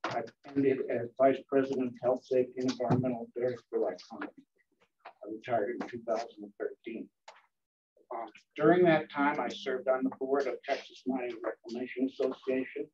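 A man's voice: medium pitch, steady tone, brisk, noisy background. Older man speaking hesitantly, far from mic. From Connecticut. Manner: hesitant, many ums. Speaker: board candidate. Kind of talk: candidate introduction at a meeting. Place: meeting room. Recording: dull distant room mic.

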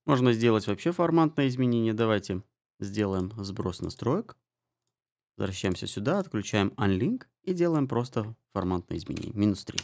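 A man giving a spoken software tutorial as an audio mixing tutor, explaining settings step by step. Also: on the low side, big pitch swings, moderate pace. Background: music and guitar samples.

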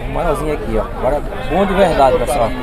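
A man's voice: medium pitch, lively intonation, quick pace. A man with a Northeastern Brazilian accent giving a chatty, folksy, informal commentary as he tours an outdoor market as a vlogger. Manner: chatty, folksy, repeats catchphrases. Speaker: vlogger and host. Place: outdoors at a livestock fair. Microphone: handheld camera or phone. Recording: noisy outdoor livestock market, busy crowd.

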